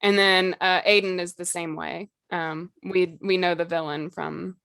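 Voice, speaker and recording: A woman's voice: on the low side, fairly even intonation, a little slow, fairly bright tone, smooth, dry recording. A woman co-hosting a casual podcast discussion, talking in a conversational, loosely hedged way with "like" and "you know" sprinkled in. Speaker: podcast co-host.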